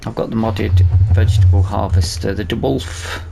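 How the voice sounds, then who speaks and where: Guy hosting a lets play, kinda deep creaky voice, hesitant, mumbles thoughts to himself, clear engine noise in back. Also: fairly even intonation, moderate pace. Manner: hesitant, musing aloud to himself. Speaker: gaming video host. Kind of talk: casual let's play commentary.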